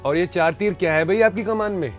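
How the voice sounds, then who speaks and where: A man's voice: sits high, a little fast, faint music behind, close to an everyday voice. Genial, eager shopkeeper played by a man. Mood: curious, eager. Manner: informal, inquisitive. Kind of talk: scripted training film role-play.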